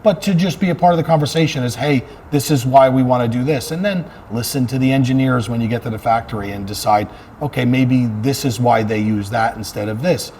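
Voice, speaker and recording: A man's voice: medium pitch, fairly quick, a little mellow, slight background noise. A man speaking as a fire chief being interviewed, talking about his work in an earnest, conversational way and repeating phrases for emphasis.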